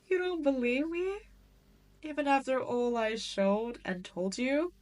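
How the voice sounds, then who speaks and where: A woman's voice: high-pitched, a little mellow. A woman playing a cruel, seductive demon queen in an audio roleplay, taunting and condescending as she toys with her prey. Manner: taunting, haughty, condescending, teasing. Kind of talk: scripted roleplay audio drama monologue.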